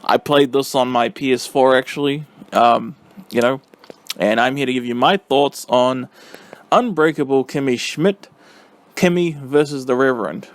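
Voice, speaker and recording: Man, mid-pitched voice, casual vlog review, halting, slangy, silly and giggly, dry recording. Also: no echo. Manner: silly, giggly, easily cracks up. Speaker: vlogger giving film and game reviews. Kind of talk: casual rambling spoken review.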